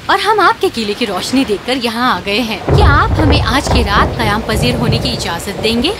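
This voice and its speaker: A woman voicing a polite young princess seeking shelter, in a children's animated fairy tale. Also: on the high side, heavy background noise, lightly acted. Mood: pleading. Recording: loud music under the voice.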